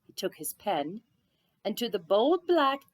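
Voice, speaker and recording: Expressive woman reading a storybook. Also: mid-range voice, measured pace, dry recording. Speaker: storybook reader. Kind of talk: expressive children's story read-aloud.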